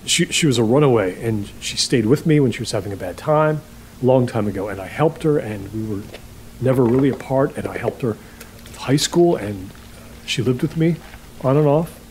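A man's voice: mid-range voice, animated, darkish tone, lightly acted, low-quality recording. A male actor plays an awkward, lonely middle-aged host in an audio drama. His mid-range voice stumbles and repeats as he overshares in an unsettlingly chatty way. Faint noise and ambience sit behind him. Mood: subdued, halting. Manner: halting, repeats himself, oversharing. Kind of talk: naturalistic audio drama acting.

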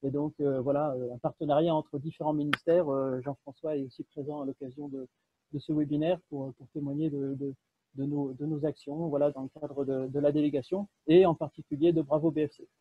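A man co-presenting a webinar from an office, halting with stammered repeats. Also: a little high, level tone, breathy edge, no room sound. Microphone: video call. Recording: tinny video call line.

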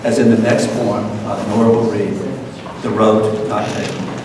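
A male host delivers a scripted introduction with very little movement in his pitch. He is far from the microphone in a lecture room, with strong echo. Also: flat delivery, moderate pace. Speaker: event host. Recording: distant, echoey room with loud ambient noise.

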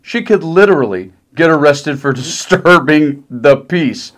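A man's voice: on the high side, animated, unhurried. A middle-aged man giving sarcastic, mocking political commentary in a conversational way. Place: small decorated room indoors.

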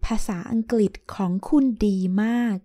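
A woman reading short lesson phrases aloud in a brisk, plain way. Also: warm tone, dry recording, studio quality. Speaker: Thai phrase reader.

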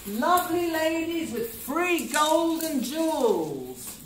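A woman playing a sly, cajoling young male hero in an amateur pantomime, with drawn-out, declamatory delivery. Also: high-pitched, big pitch swings, full character voice. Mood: showy. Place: village hall, far camera. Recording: distant noisy echoey village hall, audience.

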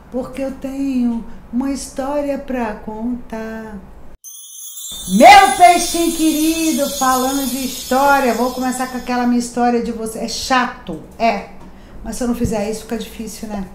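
Brazilian woman in her 30s, tarot host, chatty and confiding; roomy echo, light hiss. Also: fairly high pitch. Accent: Rio de Janeiro Portuguese. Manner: chatty, affectionate, confiding, emphatic repetitions. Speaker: tarot reader and channel host. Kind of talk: daily tarot reading to camera. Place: decorated home room, indoors. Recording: echoey room, faint background hiss.

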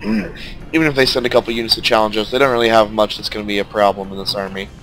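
A man with a stuffed-up, nasal voice giving relaxed, casual commentary while playing a strategy game. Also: fairly low pitch, quick pace, soft background music, low-quality recording. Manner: laid-back, thinking aloud as he plays. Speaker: let's play commentator.

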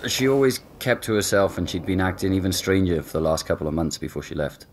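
A man's voice: low voice, fast talker, slight background noise. An actor playing an easygoing young man who jokes and hesitates as he talks. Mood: casual. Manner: relaxed, joking, hesitant with fillers. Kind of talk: audio drama acting.

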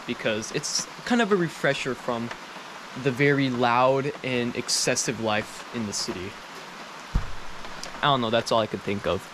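A man's voice: mid-range voice, background noise. A young man chatting casually to camera as a travel vlogger, rambling and self-deprecating. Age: young adult. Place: outdoors at a rural mountain train station in rain.

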